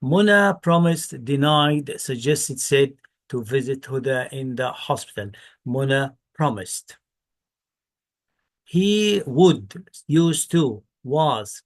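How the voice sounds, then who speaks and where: A man, an Arabic speaker, teaching English by reading exam questions deliberately, repeating words and explaining answers. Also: medium pitch, lively intonation.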